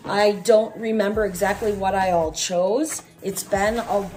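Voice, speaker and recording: A middle-aged American woman presents products in a casual, chatty vlog style. Her medium-pitched voice is under the weather with a chest cold. Music plays behind her. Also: steady tone, unhurried, poor audio. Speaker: unboxing vlog host. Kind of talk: casual product review chat. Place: small room at home, at a table.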